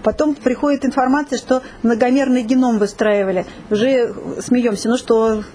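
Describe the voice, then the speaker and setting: A woman leads a group session and moves it along in a brisk, guiding way. Her voice sits slightly high. A faint hiss runs under the recording. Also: fairly even intonation, mellow. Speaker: group leader.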